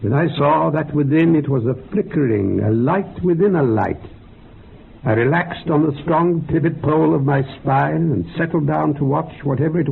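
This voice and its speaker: An actor playing an older, self-effacing male researcher in a radio drama, recounting things in a measured, reflective way with a gravelly, husky voice. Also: fairly high pitch, a little hiss or hum. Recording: dull, muffled radio drama recording.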